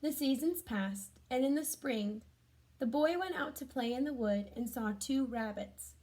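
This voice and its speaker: Woman, slightly high voice, children's read-aloud, gentle storytelling, chatty asides. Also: a little slow. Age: adult. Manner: gentle child-directed questions and comments.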